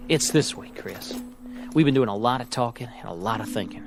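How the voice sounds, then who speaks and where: An actor playing a tired, doubtful wagon-train pioneer in a radio drama, plainspoken, folksy and wary. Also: male voice, medium pitch, lively intonation, brisk, gravelly, over music. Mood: doubtful, challenging. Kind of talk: radio drama acting, Old West.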